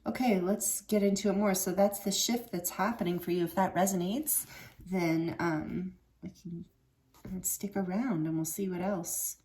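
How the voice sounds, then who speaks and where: A woman with a slightly rough throat giving a casual tarot card reading, rambling and correcting herself with many fillers. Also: on the low side, steady tone, moderate pace, a little mellow. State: throat a little rough from fighting off a cold. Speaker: tarot reader.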